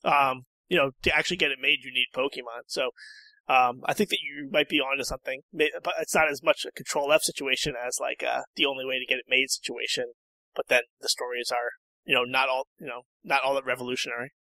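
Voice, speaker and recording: Very bright, sharp-voiced male panelist. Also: medium pitch, fairly even intonation, quick pace, dry recording, low-quality recording. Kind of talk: casual discussion.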